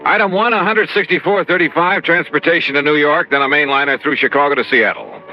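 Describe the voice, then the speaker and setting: Tough wry radio detective, clipped and weary, lots of inflection, old muffled broadcast sound. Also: male voice, on the high side, very animated, quick pace, soft background music. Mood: businesslike. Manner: clipped, wry, hard-boiled. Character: tough, world-weary male insurance investigator. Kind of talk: 1950s radio detective acting and first-person narration.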